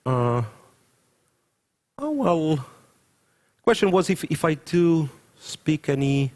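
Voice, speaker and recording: A man's voice: on the high side, very animated, slow, darkish tone. A young adult man with a Ukrainian accent, giving live commentary on a chess game, thinking aloud and often stopping to correct himself. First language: Russian.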